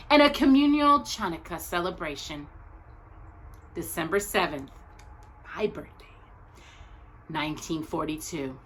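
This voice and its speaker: A woman reading aloud as a storytime host, speaking earnestly and deliberately to young viewers, phrase by phrase. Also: lowish voice, fairly bright tone, low-quality recording.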